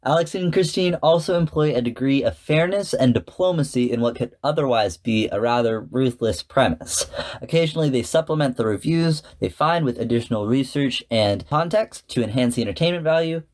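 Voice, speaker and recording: Male podcast critic, medium pitch, scripted and articulate, enthusiastic delivery with a touch of theatre. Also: a little fast, a little mellow. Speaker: podcast host and reviewer.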